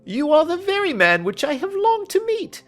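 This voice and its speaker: A cringing little man in a storybook mystery. His voice leaps high and thin, cracking with nerves. He is timid and deferential, swinging widely in pitch. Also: huge pitch swings, moderate pace, a bit bright, full character voice. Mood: agitated, eager. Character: small, frightened, nervous male hotel attendant, cringing guilty stranger.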